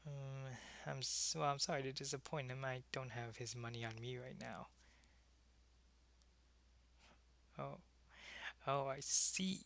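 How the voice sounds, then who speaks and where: A man's voice: medium pitch, flat delivery, moderate pace, creaky, a bit bright. A man playing a world-weary, lonely vampire who was once a human soldier, in an intimate, conversational ASMR roleplay. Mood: coolly defiant.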